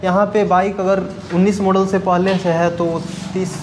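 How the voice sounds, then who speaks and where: A young dealer with a high voice answers plainly, in short matter-of-fact phrases he often repeats. His lapel mic picks him up indoors, with faint room noise around him. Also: flat delivery, normal speed. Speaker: used bike dealer. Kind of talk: casual interview answers. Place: indoor bike showroom. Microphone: clip-on lapel mic.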